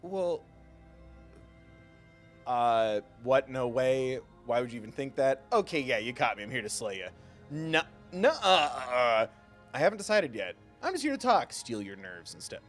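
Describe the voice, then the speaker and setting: A man streaming a game live, talking in a jokey, playful, rambling way with a mid-pitched voice. Faint game music plays under his commentary. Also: very animated, moderate pace.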